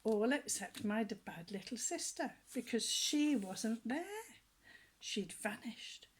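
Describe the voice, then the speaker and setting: An older woman reading a children's story aloud with gentle, lively storytelling, often dropping to soft whispers and asking her young listeners questions. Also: fairly high pitch, slow pace, slightly rough.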